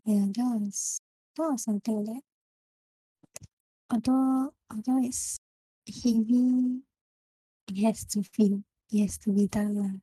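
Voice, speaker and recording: A young woman with a Singaporean English accent, casually discussing a book with friends and often pausing to find her words. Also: fairly high pitch, steady tone, mellow, no echo, low-quality recording. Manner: halting, searching for words. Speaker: book club member.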